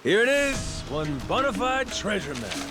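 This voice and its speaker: Playful pirate leader in a game, high swooping voice, unhurried, hearty and theatrical, music behind. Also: male voice, sing-song. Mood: playful excitement. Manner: theatrical, jaunty. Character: playful, hearty amnesiac man leading a pirate crew. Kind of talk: video game cutscene acting.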